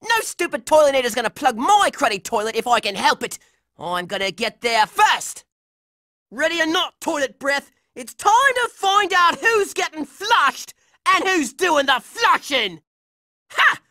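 High-pitched, brash cartoon boy. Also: big pitch swings, unhurried, pushed and bright, dry recording, clean studio sound, exaggerated voice. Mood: boastful, combative. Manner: belligerent, exclamatory, exasperated. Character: brash, hot-headed young boy fighter from a cartoon. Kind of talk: cartoon video game voice acting.

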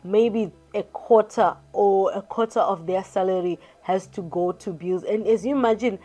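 A woman's voice: medium pitch, normal speed, soft background music. Chatty young woman vlogging. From Zimbabwe. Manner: chatty, animated hand gestures, frequent restarts and "you get what I mean". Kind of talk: casual advice to camera. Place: small room indoors. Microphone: camera in front at close range.

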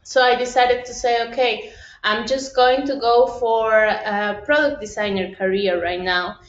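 A woman's voice: fairly high pitch, fairly even intonation, measured pace. A woman in her thirties from Costa Rica with a Spanish accent, sharing her experience in a video call, hesitant with many restarts and fillers. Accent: Costa Rican Spanish. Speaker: participant in online session. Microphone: laptop video call. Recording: roomy, dull video-call audio.

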